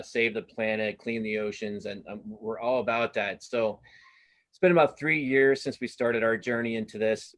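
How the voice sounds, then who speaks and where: Middle-aged male, mid-pitched, casual interview guest. Earnest, halting delivery with frequent self-corrections. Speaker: cartoonist being interviewed.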